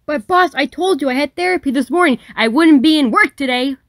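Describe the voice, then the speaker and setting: Woman acting high, animated, hot-tempered young woman. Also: brisk, no room sound, clean studio sound. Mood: exasperated. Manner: snappy, indignant.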